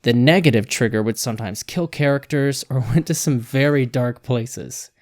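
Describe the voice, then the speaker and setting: A man's voice: mid-range voice, moderate pace. A man reading a scripted video game review as a voice-over narration. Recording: music behind the voice. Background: background music.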